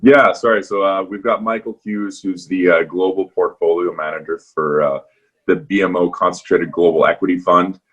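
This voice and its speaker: A man's voice: low voice, fairly even intonation, normal speed, breathy edge. A man hosting a semi-formal investment conference call. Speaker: portfolio manager hosting the call.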